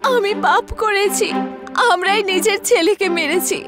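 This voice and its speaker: A woman's voice: very high-pitched, unhurried, music in the background, pitched above the performer's own voice. A woman voicing a frightened, guilt-ridden mother, pleading and agitated, in a melodramatic horror cartoon. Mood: guilty confession.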